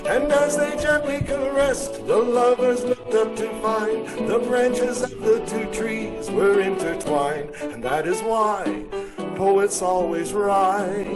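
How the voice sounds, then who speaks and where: Male crooner, very slow sung delivery of an old standard, loud musical backing. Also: sits high, poor audio.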